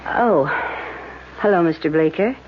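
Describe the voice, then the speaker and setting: A lively secretary in an old radio play, teasing but caring. Her pitch swings widely, and the recording is muffled with some background noise. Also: measured pace. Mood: polite. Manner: teasing, expressive. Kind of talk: vintage radio drama acting.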